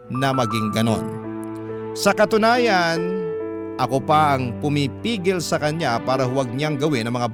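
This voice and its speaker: A man reading a first-person love letter as a radio storyteller, with emotive, deliberate phrasing. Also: medium pitch. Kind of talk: dramatic scripted narration.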